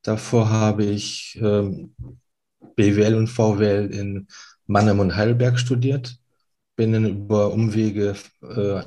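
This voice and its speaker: Advisor guy, talks real slow, lowish voice. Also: flat delivery, dark tone, low-quality recording. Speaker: financial advisor.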